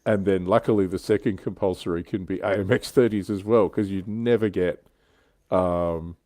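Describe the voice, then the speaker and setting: A low-pitched man talks as a show host in an easygoing, conversational way. His voice is relaxed and mellow, with keen interest in the hobby, and the sound is close and dry. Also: normal speed, no room sound. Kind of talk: casual hobby chat.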